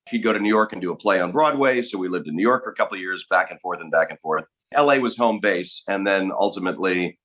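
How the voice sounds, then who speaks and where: A middle-aged man from Los Angeles, a guest on a podcast, telling stories in a relaxed, casual way. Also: low voice. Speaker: guest actor. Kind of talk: casual interview chat.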